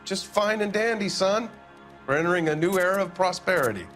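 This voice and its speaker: An actor playing a pompous, evasive middle-aged factory owner who blusters and dodges questions, with music behind him. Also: male voice, high-pitched, lively intonation, brisk, fairly bright tone. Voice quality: blustering, defensive. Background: music score.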